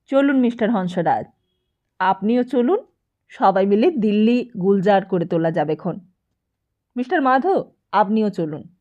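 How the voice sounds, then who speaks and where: A woman's voice: medium pitch, expressive, measured pace, long pauses, dark tone, dull audio, close to an everyday voice. A woman voicing an effusive, affectionate socialite elder sister in an audiobook drama, insistent and gushing. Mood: gaily inviting. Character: warm, gushing, tipsy socialite elder sister hosting guests.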